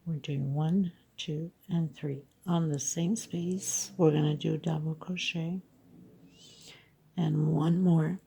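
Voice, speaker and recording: A woman's voice: deep voice, fairly even intonation, slow pace, creaky, mellow, slightly rough. A woman teaching crochet step by step, counting stitches patiently, her voice congested. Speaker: crochet instructor. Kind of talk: step-by-step craft tutorial.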